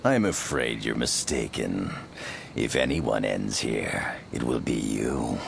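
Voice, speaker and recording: Gravelly, extremely deep smug villain. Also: male voice, expressive, a little hiss or hum, exaggerated voice. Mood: smug menace. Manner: slow, taunting, theatrical. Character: menacing male zealot villain, smug and threatening. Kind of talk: video game cutscene acting.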